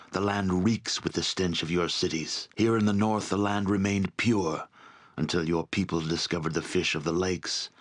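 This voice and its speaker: An actor playing a proud, embittered barbarian chieftain in a fantasy game, speaking in solemn, formal, deliberate oratory. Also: male voice, sits low. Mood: bitter accusation. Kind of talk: scripted fantasy game voice acting.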